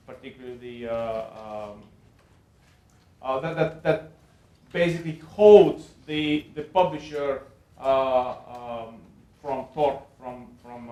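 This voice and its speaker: A man from Bulgaria with a Bulgarian accent gives an extemporaneous academic talk in a halting way, with many repeats and filled pauses. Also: mid-range voice, dark tone. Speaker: panel speaker at a university lecture. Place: indoor lecture event room. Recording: echoey room sound.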